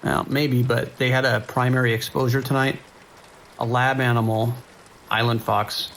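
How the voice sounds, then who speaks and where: A male actor as a steady sheriff's dispatcher on a long night shift. He has an American accent and a creaky, mid-pitched voice. His delivery is measured and tired, by the book, with faint rainy noise behind him. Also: close to an everyday voice. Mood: concerned, measured. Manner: measured, procedural, hesitant pauses. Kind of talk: naturalistic audio drama acting.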